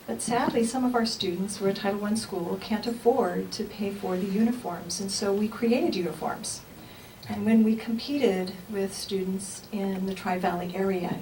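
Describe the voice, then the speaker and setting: Woman accepting a grant for a colleague, earnest and steady, kinda far from the mic. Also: mid-range voice, slight background noise. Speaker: school representative accepting a grant. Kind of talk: short acceptance speech.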